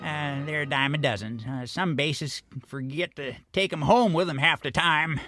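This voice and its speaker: A man's voice: a little high, normal speed, music in the background, natural voice. A man voicing a wrinkled old mole janitor in a comic audio drama, with a chuckling, folksy old-timer way of talking. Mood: excited, chatty. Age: older.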